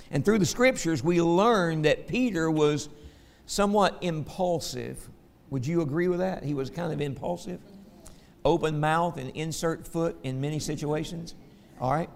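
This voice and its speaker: A man's voice: fairly high pitch, creaky, big-room sound. A man with a Southern American accent preaching a sermon, folksy, checking in with the congregation with "okay?".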